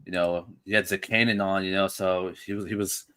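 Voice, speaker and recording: Easygoing man with a bright voice. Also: low voice, flat delivery, brisk, smooth. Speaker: livestream co-host. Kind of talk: casual livestream chat.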